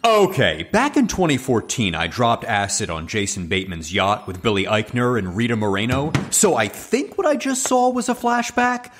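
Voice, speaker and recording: A man's voice: mid-range voice, very animated, normal speed, hardly any pauses, background noise, natural voice. A man playing a wry, laid-back agent.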